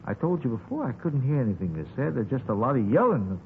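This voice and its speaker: A man's voice: medium pitch, very animated, quick pace, phone-quality sound. A man playing a folksy, talkative eyewitness in a 1950s radio drama, rambling along in colloquial phrases. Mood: vague, unhurried. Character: folksy, talkative male eyewitness to a street shooting. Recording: scratchy, thin 1950s radio recording.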